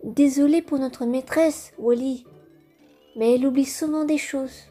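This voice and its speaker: A woman's voice: high voice, steady tone, unhurried, dark tone, soft background music. A woman voicing a polite, reassuring young schoolboy in a comic dub. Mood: matter-of-fact.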